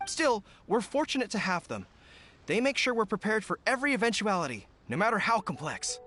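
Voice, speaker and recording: A man's voice: sits high, sing-song, brisk, fairly bright tone, full character voice. A man voicing a loyal young general who speaks earnestly, formally and with respect.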